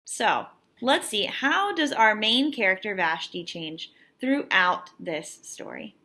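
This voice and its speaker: A young adult woman, a teacher reading a picture book aloud to children with expressive, emphatic delivery and teaching asides. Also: medium pitch, slow.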